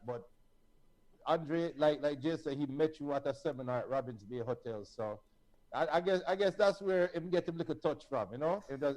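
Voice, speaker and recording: A man's voice: a little high, darkish tone, poor audio. A man with a Jamaican accent co-hosting a sports talk show, joking and confidently opinionated. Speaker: co-host.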